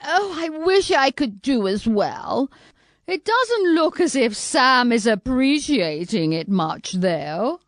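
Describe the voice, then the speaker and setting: Woman acting a high, melodramatic dinner guest. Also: very animated, takes it slowly, no echo, studio quality, heavily acted. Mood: gushing. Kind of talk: radio murder mystery acting.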